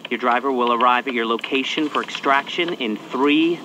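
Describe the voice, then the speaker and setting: An actor playing a brisk male spy agency handler who gives crisp, urgent instructions in scripted game dialogue. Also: medium pitch, background noise, close to an everyday voice. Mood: relieved. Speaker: mission handler.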